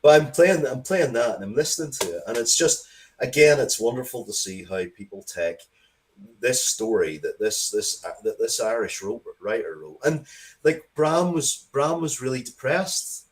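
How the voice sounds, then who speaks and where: A man's voice: medium pitch, animated, normal speed, a little hiss or hum, rough recording. A man talking casually and opinionatedly as an interview guest, emphatic and repeating words to drive points home.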